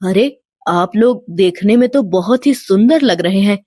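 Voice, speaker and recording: A woman voicing a humble, devout poor village father, gentle and respectful, in an animated moral tale. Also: mid-range voice, a little slow, no room sound, studio quality, pitched below the performer's own voice. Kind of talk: animated devotional storybook acting.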